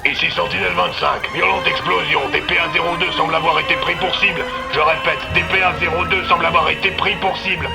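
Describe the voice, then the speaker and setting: A man's voice: on the high side, steady tone, fast, creaky, hardly any pauses, over loud music, voice pushed up. A man playing a security agent in an audio drama, making clipped, urgent emergency calls over a radio.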